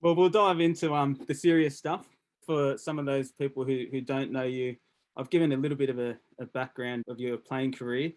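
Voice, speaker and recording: A man hosting a casual podcast. His voice sits at a mid pitch. He leads the conversation in a relaxed, easy manner. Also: normal speed. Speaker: podcast host. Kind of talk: casual interview chat.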